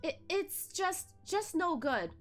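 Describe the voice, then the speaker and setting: A woman's voice: very high-pitched, very animated, slow pace, soft background music, voice pushed up, exaggerated voice. A woman voicing a playful, clingy young male disciple who wheedles and pleads in a light, teasing way. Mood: wheedling.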